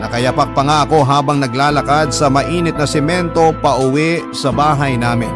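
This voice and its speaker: A man's voice: medium pitch, steady tone, moderate pace, natural voice. A man with a Filipino accent giving dramatic, scripted first-person narration as a radio drama narrator. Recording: clear music behind the narration.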